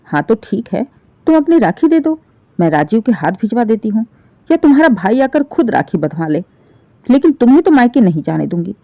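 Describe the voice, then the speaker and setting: A woman's voice: fairly high pitch, big pitch swings, brisk, no echo, dull audio. A woman storyteller voicing an indignant older mother-in-law, stern and reproachful, in a lecturing manner. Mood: stern, defiant. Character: indignant, aggrieved older mother-in-law scolding over her daughter.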